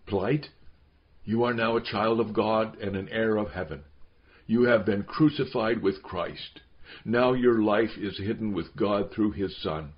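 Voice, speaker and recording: A devout old man , acted out by a male narrator, recites solemnly in a deep voice. His manner is liturgical and storybook-like, on a dull recording. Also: measured pace, lightly acted. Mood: solemn, blessing. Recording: dull, lo-fi sound.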